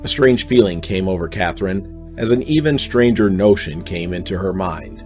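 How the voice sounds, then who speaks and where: Low-voiced man narrating a radio drama. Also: animated, normal speed, darkish tone, soft background music.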